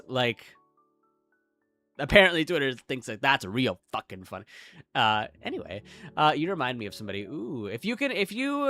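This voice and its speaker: Young midwestern american dude streaming, talks kinda high and bouncy, rambles with tons of "like"s, faint music behind. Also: male voice, pushed and bright. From Midwestern United States. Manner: rambling, chatty, frequent "like" fillers, self-deprecating. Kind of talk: casual live-stream chat.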